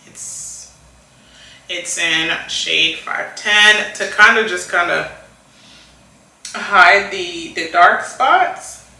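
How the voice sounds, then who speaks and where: A woman from Rwanda in her thirties talks slowly and softly through a makeup routine, her bright voice drifting through fillers and restarts. She sits back from the camera mic in an echoey room. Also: lowish voice, creaky, far from the mic. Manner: soft-spoken, rambling, many fillers and restarts. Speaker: beauty vlogger. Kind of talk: casual chat while doing makeup.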